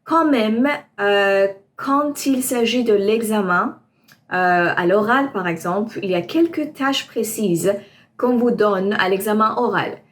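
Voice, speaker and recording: A woman's voice: medium pitch, slow pace, slight background noise. A woman teaching French exam preparation, talking to her viewers with frequent hesitations. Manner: hesitant, many ums, reassuring. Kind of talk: explaining to camera.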